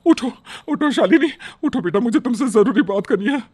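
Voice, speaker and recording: Man voicing an anxious, high-pitched village aunt. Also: expressive, moderate pace, a little mellow, breathy edge, dry recording, heavily acted. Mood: urgent. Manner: urgent, pleading. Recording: background music under the voice.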